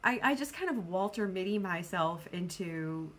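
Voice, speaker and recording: A woman's voice: lowish voice, lively intonation, moderate pace. A woman chatting casually and spontaneously as a book vlogger, rambling in a playful, self-deprecating way.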